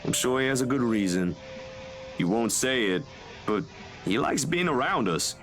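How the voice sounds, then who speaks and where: An actor playing an easygoing, loyal young photographer in a video game, with a folksy, informal manner. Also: male voice, mid-range voice, big pitch swings, moderate pace, fairly bright tone. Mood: easygoing, teasing. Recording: background music.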